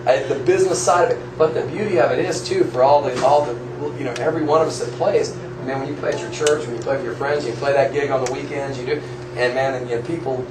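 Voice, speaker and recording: A man speeds through a drum clinic talk, rambling and animated, tripping over his own restarts. He is heard from a distance in a room with a strong echo. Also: medium pitch, quick pace, a crowd in the background. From Florida. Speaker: guest drummer. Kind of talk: clinic talk to a live audience. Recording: echoey room, mic far off, noisy.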